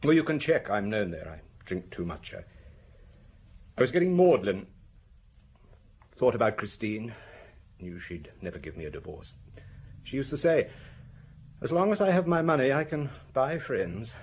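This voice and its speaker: A man acting a troubled, tipsy murder suspect confessing haltingly in a British radio drama, with a British accent. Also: medium pitch, big pitch swings, darkish tone, husky. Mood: maudlin, confessional. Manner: hesitant, halting, rambling.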